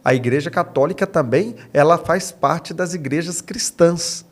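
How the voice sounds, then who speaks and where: A man's voice: a little high, normal speed. A man with a Brazilian accent, a podcast guest explaining conversationally in an assured, emphatic way. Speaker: podcast guest, men's movement member.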